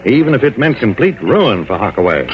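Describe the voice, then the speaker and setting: A man's voice: medium pitch, big pitch swings, fairly quick, natural voice. An American man playing a cautious, fussy insurance executive in an old-time radio drama, precise and formal but often hesitant and hedging. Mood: disapproving. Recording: dull vintage radio recording.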